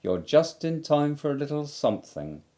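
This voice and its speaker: A man voicing a cheerful, muddled and simple young bear in a storybook reading, slow and sing-song with humming. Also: a little high, big pitch swings, mellow, pitched above the performer's own voice.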